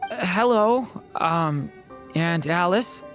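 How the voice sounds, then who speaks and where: A shy young dragon boy for an anime game. The voice is youthful and polite, hesitant yet lively in its intonation, with music playing behind. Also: medium pitch, takes it slowly. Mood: shy, puzzled. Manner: hesitant, apologetic, soft-spoken. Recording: background music over voice.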